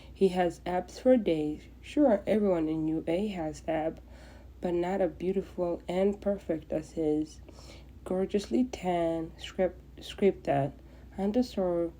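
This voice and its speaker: A woman's voice: on the low side, dark tone. A woman narrating a piece of romantic fan fiction as a podfic reader.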